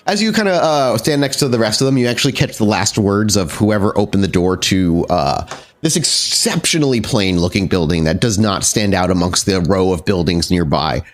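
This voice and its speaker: A man narrating a tabletop roleplaying game as game master, in a halting, conversational way. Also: lowish voice, animated. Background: music.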